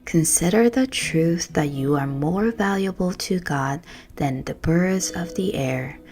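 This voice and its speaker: Casting: woman meditation guide, low-pitched, gentle and hushed, reading scripted prayer over music. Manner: gentle, soothing, hushed, measured phrasing.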